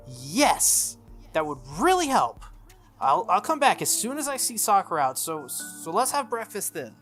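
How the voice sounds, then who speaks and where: An earnest teenage boy from a visual novel, read out by a game streamer. He is easily flustered and hesitant, in a mid-pitched voice with music underneath. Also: sing-song, measured pace, fairly bright tone.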